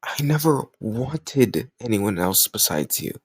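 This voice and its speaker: A man's voice: medium pitch, expressive, slow pace, slightly rough, dry recording. Man acting a jealous lover, close and dry. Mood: earnest, tender. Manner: emotional, colloquial, insistent. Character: jealous, stubborn young male lover confessing his love. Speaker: audio roleplay voice actor. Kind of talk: intimate scripted romantic audio roleplay.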